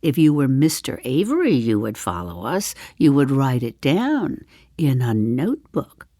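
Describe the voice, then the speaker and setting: An older actress playing a wry, chatty seventy-five-year-old woman in a darkly comic stage monologue, musing to the listener with deliberate pauses. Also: a bass voice, sing-song, mellow, dry recording, clean studio sound, exaggerated voice. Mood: confiding, wry. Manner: deliberate, dramatic pauses, dropping to confiding asides. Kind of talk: theatrical monologue, storytelling to the listener.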